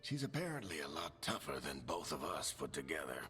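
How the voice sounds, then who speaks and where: Wounded fantasy game mentor: wry and fatherly, very low voice, unhurried but highly animated, music underneath. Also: heavily acted. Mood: wry, weary. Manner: wry, encouraging. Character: wounded, wry, fatherly veteran companion resting in bed. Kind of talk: fantasy video game acting.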